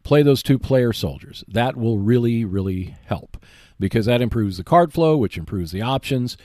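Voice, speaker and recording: A man's voice: fairly low pitch, warm tone, no echo, clean studio sound. A man hosting a solo gaming podcast, explaining and giving tips in a conversational way. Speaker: podcast host.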